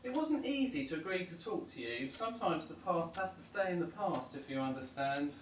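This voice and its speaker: Woman acting older retired farmer, lively swooping pitch. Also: a little fast, slight background noise, lightly acted. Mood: guarded, rueful. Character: hospitable retired farmer woman, guarded about the past. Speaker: actor in an audio drama. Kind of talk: audio drama dialogue. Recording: roomy, distant from mic, faint music.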